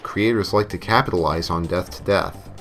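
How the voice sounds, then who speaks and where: A man's voice: deep voice, normal speed, a bit bright. A man reading a scripted story as narrator in a tongue-in-cheek way, sometimes hamming up his lines. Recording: music playing behind the voice. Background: novelty songs and game music.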